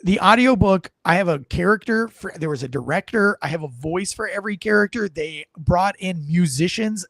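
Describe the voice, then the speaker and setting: A former police officer talks loosely, full of fillers and restarts. His voice sits a little high for a man. The sound is dry and close, with no room around it. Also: no echo. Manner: rambling, with many fillers and restarts. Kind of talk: casual chat about his audiobook.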